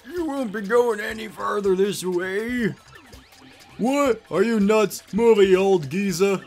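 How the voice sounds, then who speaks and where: A man voicing a cocky, blustering video game villain in a loud, bombastic, taunting way. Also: sits high, slow, pitched above the performer's own voice, heavily acted. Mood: scornful. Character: blustering, cocky male cartoon villain henchman. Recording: game music behind.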